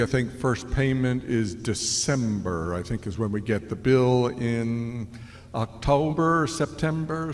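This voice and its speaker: A man answering a resident's question at a city meeting, unhurried and thinking aloud as he goes. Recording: echoey hall with faint rumble.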